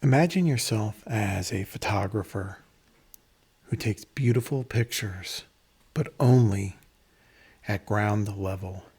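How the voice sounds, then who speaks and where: A man leading a guided meditation in a measured, instructional manner, pausing between phrases, with a breathy, husky voice. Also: on the low side, a little mellow.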